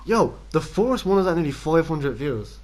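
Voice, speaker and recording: A man's voice: a little high, big pitch swings, moderate pace, a little mellow. A young man chats crudely on a homemade podcast. He giggles often and reads a letter aloud with mock seriousness. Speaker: podcast co-host. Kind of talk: casual crude banter.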